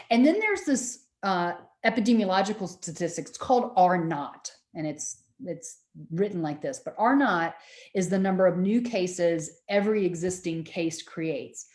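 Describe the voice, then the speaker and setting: Loud, projecting woman lecturing. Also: fairly low pitch, echoey room. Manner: chatty, checks in with students often. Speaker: university lecturer. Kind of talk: recorded lecture to students.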